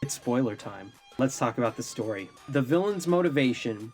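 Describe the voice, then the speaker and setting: A man's voice: mid-range voice, lively intonation, moderate pace, a little mellow. A man in his thirties co-hosting a film review, giving emphatic opinions as commentary. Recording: music bed under voice.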